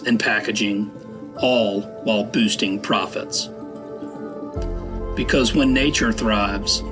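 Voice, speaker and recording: This man has a middle-pitched voice and reads a scripted advertisement. He sounds calm, measured, and persuasive, and a music bed plays clearly under him. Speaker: ad narrator. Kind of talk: scripted ad read.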